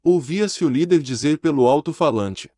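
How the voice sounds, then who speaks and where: A man narrating a documentary in a measured, serious tone. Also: on the high side, lively intonation, mellow, no echo, studio quality. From Brazil.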